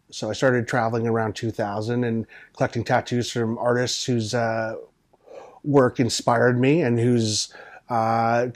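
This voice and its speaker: Man, forties, mid-pitched voice, earnest and measured interviewee, tattoo artist talking in a small room. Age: 40s. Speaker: tattoo artist and convention organizer. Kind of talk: interview answers, reflective conversation.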